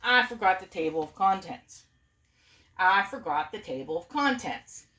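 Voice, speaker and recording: Woman reading as flustered male storybook narrator, animated. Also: medium pitch, unhurried, reverberant, distant mic. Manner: urgent, repeats himself.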